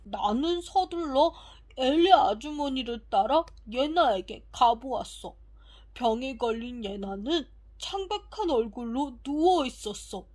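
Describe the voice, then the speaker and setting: A woman's voice: sits high, darkish tone. A woman narrating a children's audiobook from a script, reading carefully and expressively.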